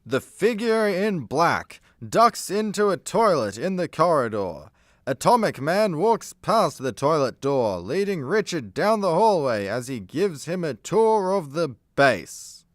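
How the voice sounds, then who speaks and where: A man's voice: on the high side, big pitch swings, unhurried, dry recording, studio quality. A man narrating a scripted comedy radio play, setting each scene as storyteller.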